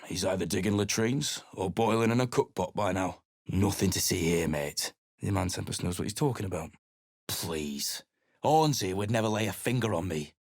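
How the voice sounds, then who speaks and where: An actor playing a surly, battle-worn mercenary adventurer in a video game, speaking in a gruff, blunt, dismissive way. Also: male voice, deep voice, moderate pace, no echo, studio quality.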